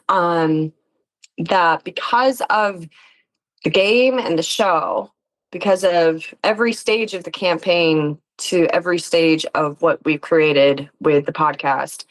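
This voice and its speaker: A woman chatting casually and reflectively as an interview guest, with an earnest, expressive manner. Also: on the low side, low-quality recording. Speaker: interview guest, actor and musician.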